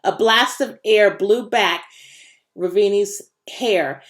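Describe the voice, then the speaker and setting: A woman reading a children's novel aloud as an expressive storyteller, with dramatic emphasis. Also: medium pitch. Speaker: audiobook reader.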